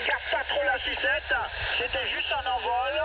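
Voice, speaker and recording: A man's voice: extremely high pitch, steady tone, a little slow. Over a dull, very noisy radio link comes a man. He chats casually as an amateur operator, his voice thinned by the transmission. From French Guiana. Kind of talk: casual radio contact chat. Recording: muffled, very noisy long-distance radio link.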